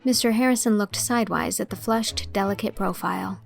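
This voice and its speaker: A female narrator tells a classic story aloud in a steady, measured voice. Her pitch stays in the middle of her range. Soft music runs faintly underneath. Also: gravelly. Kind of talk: audiobook narration of a classic novel.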